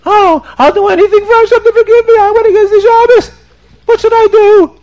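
A man's voice: extremely high pitch, fairly even intonation, a little fast, darkish tone, lo-fi sound, voice pushed up. A man voicing a distraught, eager penitent in a religious tale, whimpering and stammering as he pleads. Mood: desperate pleading. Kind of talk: oral religious storytelling, character voice.

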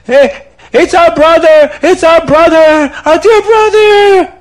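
A man voicing two grown brothers who loudly accuse others after finding a body. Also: slow. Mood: shocked. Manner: shrill, loud, accusing.

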